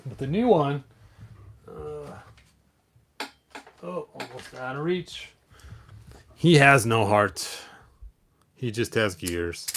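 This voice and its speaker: A man's voice: mid-range voice, lively intonation, slow pace, pauses often, background noise. A man hosting a hobby vlog in casual, digressive chat, often half talking to himself as he handles things. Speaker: toy collector, video host.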